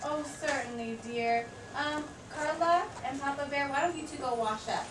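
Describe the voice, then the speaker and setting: A woman's voice: sits high, normal speed, natural voice. A woman playing a kind, encouraging mother bear in a children's puppet show. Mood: kindly. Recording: echoey, noisy room from far off.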